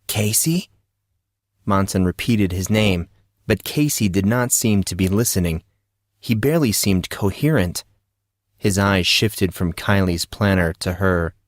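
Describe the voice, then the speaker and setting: A man narrates fantasy fiction with a deliberate, suspenseful delivery. His voice sits fairly low. The recording is close and very dry, like a dramatic audiobook. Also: dry recording.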